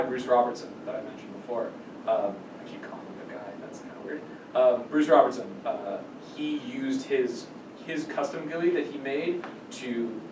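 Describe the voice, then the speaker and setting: A man giving an informal technical explanation to a small group as a workshop presenter, often restarting and repeating his phrases. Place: small indoor meeting room. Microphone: far camera microphone. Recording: distant mic in echoey room, rumbly noise.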